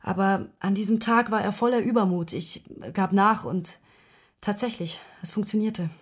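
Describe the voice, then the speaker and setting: An actress in a radio play playing a distressed woman who confides intimate matters in a halting, emotionally wounded way. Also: mid-range voice, steady tone, slightly rough, close to an everyday voice. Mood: uneasy, reflective. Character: distressed woman confessing her secret love affair to investigator.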